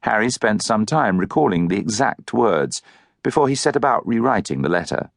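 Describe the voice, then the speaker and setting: Low-voiced man narrating a novel. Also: lively intonation, moderate pace, gravelly, no room sound, studio quality. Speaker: audiobook narrator. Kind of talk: scripted literary narration.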